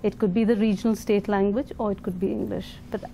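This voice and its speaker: A woman's voice: medium pitch, fairly even intonation, fast, gravelly, mellow, slight background noise. An Indian woman leading an education foundation, answering interview questions thoughtfully with fillers and restarts.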